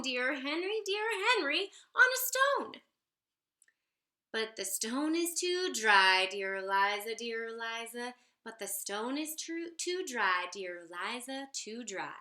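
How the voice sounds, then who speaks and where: Female children's storyteller, high and unhurried, expressive sing-song read-aloud, roomy echo. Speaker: storyteller reading a picture book aloud. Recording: roomy, echoey sound.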